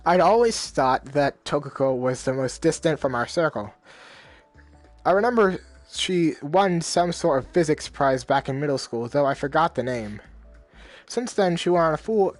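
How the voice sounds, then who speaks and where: A man's voice: fairly high pitch, animated, normal speed, dry recording, faint music behind. A man playing a visual novel, reading the dialogue aloud in his own voice and rambling in casual slang between lines. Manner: casual slang, many fillers. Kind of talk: let's play reading and commentary.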